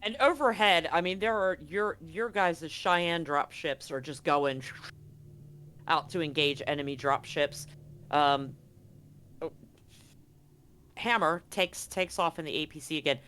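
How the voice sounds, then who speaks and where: A game master runs a tabletop session in a medium-pitched voice. The narration is improvised and conversational, stretching key words and pausing for drama. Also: sharp tone, slight background noise. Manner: dramatic pauses, drawn-out emphasis.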